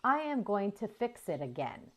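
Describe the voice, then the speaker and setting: A woman voicing a helpful female farm robot in a storybook, with measured, formal phrasing. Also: medium pitch, very animated, a bit bright. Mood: calm, helpful. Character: helpful, literal-minded female farm robot telling stories.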